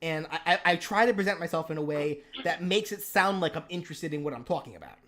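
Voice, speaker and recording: A man's voice: a little high, animated, fast talker, fairly bright tone. Stuttering man hosting a live stream. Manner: stutters, repeats words, restarts often. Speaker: stream host and moveset creator. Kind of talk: casual live stream chat.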